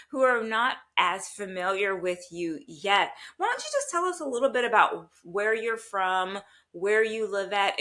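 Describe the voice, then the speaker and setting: A woman's voice: mid-range voice, a bit bright. A woman hosting a faith podcast interview, enthusiastic as she introduces and questions her guest. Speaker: podcast host, coach.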